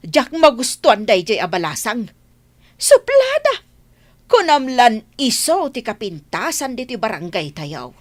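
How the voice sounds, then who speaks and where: A woman's voice: fairly high pitch, huge pitch swings, unhurried, heavily acted. An actress playing a nagging, talkative middle-aged village mother in a comedy radio play. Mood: fussing. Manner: nagging, chatty, given to exclamations.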